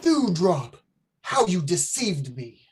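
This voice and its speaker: Man playing a slow, doting, gullible groom. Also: sits high, big pitch swings, background noise, exaggerated voice. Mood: wounded. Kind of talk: vintage radio play acting.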